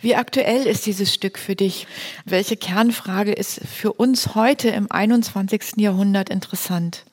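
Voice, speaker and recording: A woman's voice: mid-range voice, fairly even intonation, unhurried. A woman hosting a moderated talk on stage, speaking in an articulate, structured presenter's manner. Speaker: host, moderator. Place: hall with a live audience.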